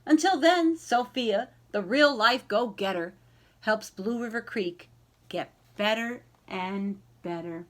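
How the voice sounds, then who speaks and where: Female library read-aloud host, higher-pitched, expressive and encouraging with kids, leans on key words. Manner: dramatic pauses, stretched key words, encouraging. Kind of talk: expressive children's storybook read-aloud, then chat to young listeners.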